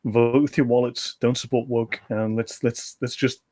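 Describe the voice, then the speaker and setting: A man's voice: medium pitch, little pitch movement, moderate pace, darkish tone. A man hosting a livestream over a video call, talking in a friendly, loose way with many fillers. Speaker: livestream host. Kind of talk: casual livestream chat.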